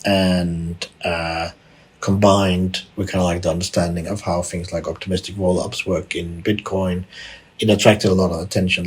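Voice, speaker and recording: Very deep, husky-voiced man from Denmark. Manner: frequent restarts, repeated words and fillers. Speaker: podcast guest, tech executive. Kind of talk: conversational interview answers.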